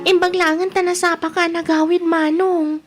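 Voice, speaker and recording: A woman's voice: very high voice, flat delivery, normal speed, loud music behind the voice. A woman with an Ilocano accent playing an anxious, pleading young daughter in a radio drama.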